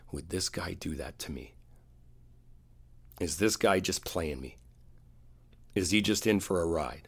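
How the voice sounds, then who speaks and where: Guy acting as a hurt boyfriend, low deep voice, intimate roleplay asmr, earnest and kinda halting, confiding. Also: slightly rough, heavily acted. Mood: tender, pleading. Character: earnest, wounded boyfriend pleading to make up.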